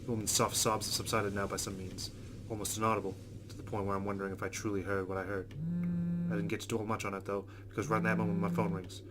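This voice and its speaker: A man's voice: fairly low pitch, flat delivery, fast talker, noisy background. A man reading a visual novel aloud as a let's play host, adding casual commentary. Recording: background guitar music. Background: game music with plucked guitar.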